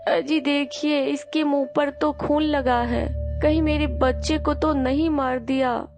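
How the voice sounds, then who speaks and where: A woman's voice: high-pitched, fairly even intonation, slow, over music. A woman playing a poor farmer's anxious, coaxing wife in a cartoon village story. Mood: worried.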